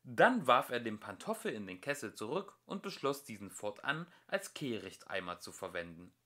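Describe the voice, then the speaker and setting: A man narrating a storybook as an amateur audiobook reader, in an expressive storytelling manner. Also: mid-range voice, moderate pace. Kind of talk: storybook narration, read aloud.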